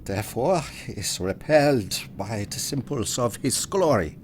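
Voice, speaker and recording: A weary, pious old professor, played by a man in audio drama. He speaks slowly and formally, haltingly, with big pitch swings over faint noise. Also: lively intonation, lightly acted. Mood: weary, grave. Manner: halting, formal, sighing delivery.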